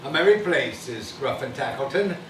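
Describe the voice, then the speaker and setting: An actor playing a gentle, doting older Victorian father, a humble toymaker, mild and hesitant in a stage play. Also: mid-range voice, lively intonation, moderate pace, lightly acted. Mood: fond. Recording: echoey distant stage recording, noisy.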